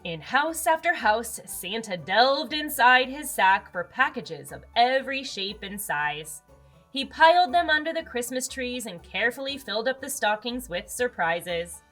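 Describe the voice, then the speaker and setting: A woman reading a children's storybook aloud as narrator, expressive and with dramatic emphasis. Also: fairly high pitch, unhurried. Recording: music under the voice.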